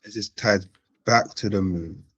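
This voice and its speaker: A man hosting a live-streamed panel chat. He talks casually, repeating phrases and giving slangy shout-outs to viewers. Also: on the low side, a little slow, breathy edge. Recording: dull, lo-fi stream audio.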